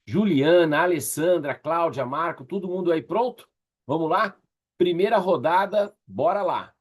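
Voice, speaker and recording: A Brazilian man with a slightly husky voice teaching an online class in a conversational, encouraging way, often checking in with his listeners. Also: a little high, lively intonation, normal speed. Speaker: course instructor leading an online workshop. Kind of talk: casual interactive online teaching.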